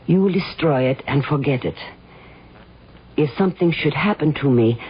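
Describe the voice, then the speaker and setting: An actress playing a frightened, secretive woman reading a letter in a radio drama, with a husky voice. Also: low-pitched. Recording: dull old radio broadcast.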